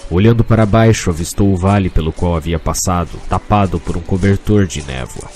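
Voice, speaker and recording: A man's voice: deep voice, a little fast, a little mellow, slight background noise. A man reading a scripted fantasy tale as narrator, in a solemn, literary manner.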